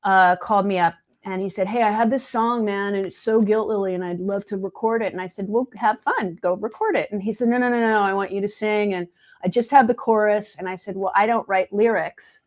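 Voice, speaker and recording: A woman's voice: mid-range voice, a little fast. A woman in her late fifties chatting casually and telling anecdotes, acting out what other people said. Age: late 50s. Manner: chatty, anecdotal, acts out quoted dialogue. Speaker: guest, singer and video editor. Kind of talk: casual chat, storytelling.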